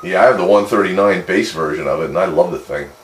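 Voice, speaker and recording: A low-pitched man talks casually and matter-of-factly, with folksy phrasing. His voice comes over a CB radio link with a roomy echo and faint noise behind it. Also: fast. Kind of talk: casual radio hobbyist chat. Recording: echoey, noisy CB radio transmission.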